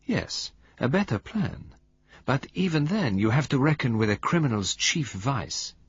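A man voicing a calm, measured, grave elderly detective. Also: medium pitch, husky, no room sound, pitched above the performer's own voice. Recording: dull lo-fi recording.

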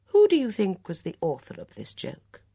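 Audiobook role: calm, probing male inspector performed by a female reader, measured questions, husky touch, dry sound. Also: mid-range voice, no echo, voice pushed up, exaggerated voice. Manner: deliberate, questioning. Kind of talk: audiobook character dialogue.

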